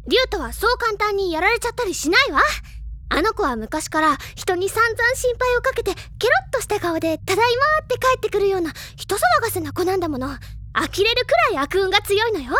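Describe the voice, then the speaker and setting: A woman voicing an excitable, bookish teenage girl in a Japanese audio drama, with expressive, theatrical, feminine phrasing. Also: very high-pitched, brisk, heavily acted. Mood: brisk, assertive. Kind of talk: Japanese audio drama acting, anime style. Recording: studio drama with music behind. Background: background music.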